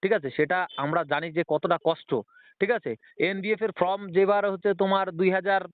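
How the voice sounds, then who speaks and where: A man's voice: high-pitched, fairly even intonation, normal speed, dry recording. A young man talks insistently on a phone call, repeating himself and pleading his point. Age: young adult. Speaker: job candidate calling on the phone. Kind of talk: argumentative phone conversation. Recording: tinny, band-limited phone line.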